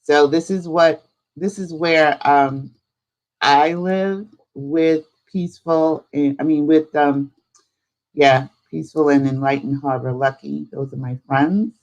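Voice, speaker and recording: A woman hosting a live spirituality stream, chatting casually in an unhurried, rambling way. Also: sits low, steady tone, a little mellow, low-quality recording. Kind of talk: casual livestream chat.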